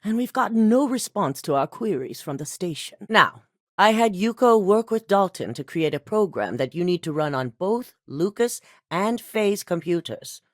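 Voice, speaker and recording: An actress voicing a calculating corporate executive who hands out missions in a video game, speaking in a composed, clipped, authoritative way. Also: female voice, lowish voice, big pitch swings, moderate pace, no room sound, clean studio sound. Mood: cold. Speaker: corporate boss giving missions.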